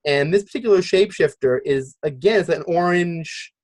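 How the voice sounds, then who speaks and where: A man with a fairly high-pitched voice hosts a relaxed podcast conversation. He speaks haltingly, working out his thoughts aloud. The sound is very dry, with no room around it. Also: dry recording. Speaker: podcast host. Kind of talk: casual podcast discussion.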